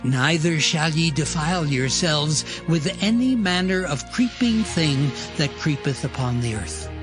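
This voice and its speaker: A man voicing the Lord giving laws in a dramatized scripture reading, solemn and measured. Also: a little high. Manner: slow, measured, solemn recitation. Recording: music playing underneath. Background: music.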